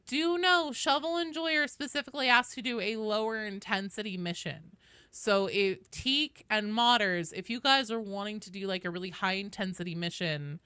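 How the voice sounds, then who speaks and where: A woman's voice: medium pitch, pushed and bright, clear, resonant tone, dry recording. A woman hosting a casual game livestream, chatting informally and explaining things at length as she talks directly to viewers. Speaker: video game streamer, host.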